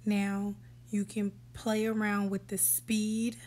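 Woman tutorial host, unhurried, level delivery, casual step-by-step voice-over. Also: mid-range voice. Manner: relaxed step-by-step instructions, sometimes whispery. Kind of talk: screen-recorded app tutorial voice-over. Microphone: voice-over for a screen recording.

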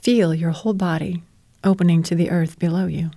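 Calm woman doing guided meditation, lowish warm relaxed voice, soothing n measured. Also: no echo. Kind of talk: guided relaxation narration, yoga nidra.

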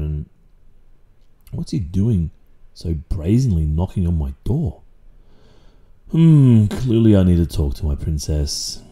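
An Australian man playing a stern, protective, possessive father in an audio roleplay, speaking deliberately and condescendingly with drawn-out pauses and menacing calm. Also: low voice, vocal fry, full character voice. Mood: stern, commanding. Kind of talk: intimate audio roleplay, slow dramatic monologue.

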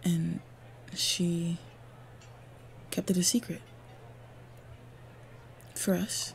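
A woman playing a devoted, protective female orc warrior lover in an intimate fantasy roleplay audio, speaking softly, earnestly and often breathily. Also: fairly low pitch, little pitch movement, extremely slow, exaggerated voice. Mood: worried, hesitant. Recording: clear background ambient noise. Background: ambience sound effects.

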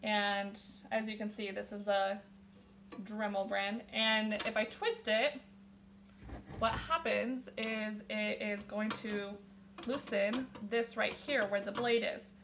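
A woman's voice: medium pitch, fairly even intonation, slow pace, noisy background. A young woman hosting a casual woodworking tutorial, chatting while she shows her tools in a small home workshop. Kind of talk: casual how-to demonstration. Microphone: camera on the bench, close.

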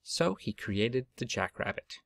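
A man reading a children's picture book aloud in an expressive storytelling style. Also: lowish voice, measured pace, gravelly, no room sound, clean studio sound. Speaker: storybook reader.

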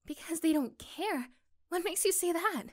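A woman playing a caring, curious young girlfriend in a soft-spoken, tender ASMR roleplay, breathy at times. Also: very high-pitched, expressive, moderate pace, voice pushed up. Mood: surprised, concerned.

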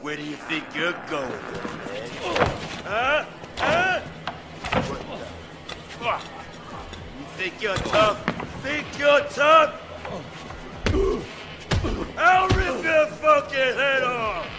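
A man voicing a menacing, sadistic gang thug in a video game, taunting and cursing with drawn-out shouts. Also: very high voice, expressive, heavily acted. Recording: noisy game cutscene audio.

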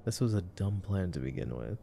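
A young man doing casual boyfriend-roleplay movie commentary for ASMR, in a soft, drowsy murmur with slangy phrasing. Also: deep voice, sing-song, a little fast, creaky, slight background noise. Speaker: ASMR voice performer.

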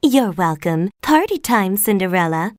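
A woman voicing a kindly little fairy godmother, gently instructing in simple cartoon dialogue for English learners. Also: mid-range voice, big pitch swings, unhurried, background noise.

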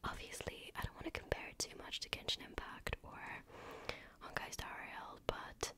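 Whispering woman, French native speaker. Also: normal speed. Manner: soft whisper, chatty with many fillers. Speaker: gaming ASMR creator. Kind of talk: whispered ASMR gameplay chat. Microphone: desk microphone.

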